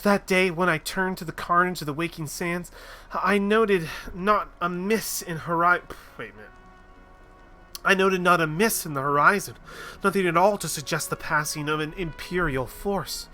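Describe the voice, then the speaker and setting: A middle-aged male engineer leader as a man puts him on, speaking in stately, old-fashioned phrases. His voice is bright and slightly raised, with soft music underneath. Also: high-pitched, normal speed. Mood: formal. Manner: archaic, formal phrasing.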